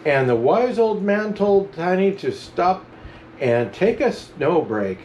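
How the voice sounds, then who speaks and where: A man reading a children's storybook aloud as a Santa storyteller, with animated, chuckling narration. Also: sits high, slow pace, a little hiss or hum. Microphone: camera mic.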